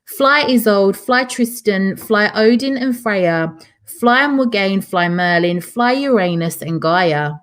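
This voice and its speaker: Woman reading stately old female winter spirit, slow rhymed chant, storybook poem, middle-pitched voice. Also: animated. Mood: tender.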